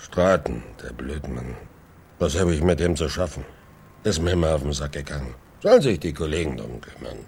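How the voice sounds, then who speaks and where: An actor in a German radio drama playing a coarse, cynical opportunist who rants in a crude, agitated, sneering way. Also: male voice, very deep voice, expressive, moderate pace, gravelly, a little mellow, slight background noise, exaggerated voice. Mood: sneering, dismissive. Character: coarse, cynical, ranting man, a crooked opportunist.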